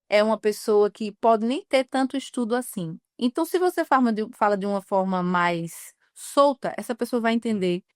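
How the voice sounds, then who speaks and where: A woman journalist from Northeast Brazil, in her thirties, talking earnestly in an interview and slowing down on key words. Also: on the high side, clean tone, no echo, studio quality. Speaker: journalist guest. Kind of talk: reflective interview talk.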